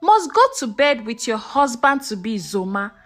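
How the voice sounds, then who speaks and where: A woman's voice: a little high, very animated, unhurried, a bit bright. A woman voicing an earnest village mother who instructs on tradition in a folktale. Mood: solemn.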